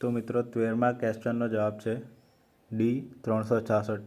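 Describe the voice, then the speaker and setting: A man with a Gujarati accent reads exam quiz questions in an even, unvarying tone. He moves through each option slowly and deliberately, at a middle pitch. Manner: slow, measured reading of options. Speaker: quiz host for exam preparation. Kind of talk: reading quiz questions and answers.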